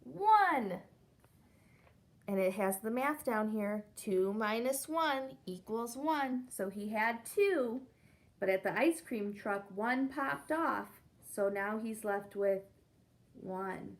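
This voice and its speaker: Young female teacher, unhurried children's read-aloud, slightly high voice, counts and quizzes the kids. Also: expressive. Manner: asks the children questions, counts along.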